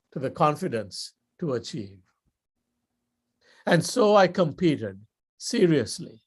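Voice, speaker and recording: An older man from Sri Lanka with a Sri Lankan accent, giving a motivational club speech in a measured, deliberate storytelling style with dramatic pauses. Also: a little high. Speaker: guest speaker.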